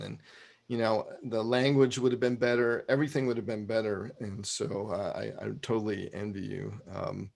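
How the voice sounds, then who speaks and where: A man's voice: fairly low pitch, vocal fry. A man hosting a casual interview show, joking and using lots of fillers. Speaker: host.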